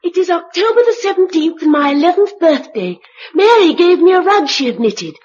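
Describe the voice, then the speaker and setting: A woman's voice: very high voice, big pitch swings, moderate pace, darkish tone, slight background noise. A woman with an English accent playing an earnest, blunt eleven-year-old girl in a vintage radio drama. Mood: proud, reciting. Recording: dull old radio recording.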